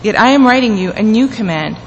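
A woman's voice: fairly high pitch, lively intonation, brisk, muffled recording. A woman earnestly reading scripture and leading a prayer in a church. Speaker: scripture reader and prayer leader. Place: church sanctuary. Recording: church hall reverb with faint room noise.

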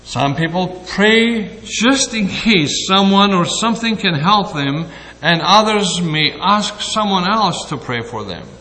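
Slow, high-voiced older Greek-accented preacher. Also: male voice, animated, bright, forceful tone, in a large hall, poor audio. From Greece. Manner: slow and deliberate, with frequent hesitations. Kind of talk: sermon.

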